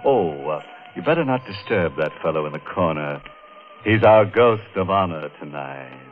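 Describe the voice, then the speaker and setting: A man's voice: deep voice, animated, normal speed. A man playing a gleefully macabre horror host in a 1950s radio show, delivering morbid puns with mock solemnity. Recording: muffled old radio recording, faint music.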